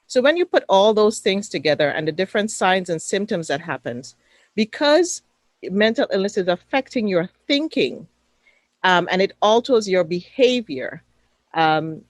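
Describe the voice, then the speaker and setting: A woman's voice: mid-range voice, no echo. A woman with a Trinidadian accent speaking on a webinar panel over a video call, emphatic and preacher-like in her cadence. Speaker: panelist, mental fitness coach.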